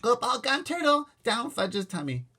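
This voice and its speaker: A man's voice: extremely high pitch, sing-song, normal speed, pushed and bright, breathy edge, voice pushed up, heavily acted. A giggling, babbling toddler boy. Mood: gleeful. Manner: babbling baby talk, giggling. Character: toddler boy, gleeful and mischievous.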